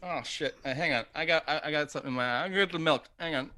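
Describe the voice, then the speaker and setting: An improv performer and host on a video call, upbeat and loud. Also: mid-range voice, quick pace. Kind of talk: improvised comedy scene.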